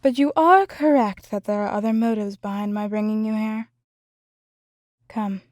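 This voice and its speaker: A woman's voice: medium pitch, moderate pace, darkish tone, no echo, clean studio sound, natural voice. A woman playing a sly, taunting enchantress villain in a fan audio drama, mocking and theatrical. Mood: coolly persuasive.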